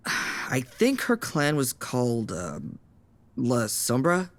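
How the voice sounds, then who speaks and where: An actor playing a nervous, guarded young fledgling vampire in an audio drama, speaking hesitantly. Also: male voice, mid-range voice, wildly swooping pitch, drawn-out words, a bit bright, exaggerated voice. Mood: hesitant, uneasy.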